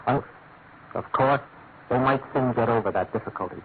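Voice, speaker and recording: An actor playing a troubled, deferential British senior clerk, formal and measured, in a classic radio drama. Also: male voice, mid-range voice, natural voice. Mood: measured, doubtful. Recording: noisy, narrow-band old radio recording.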